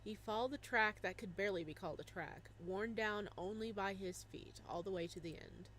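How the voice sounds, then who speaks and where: A young woman reading a visual novel aloud, breaking off into laughing, excited reactions between passages. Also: mid-range voice, fairly quick, slight background noise. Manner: animated, laughing exclamations between narration.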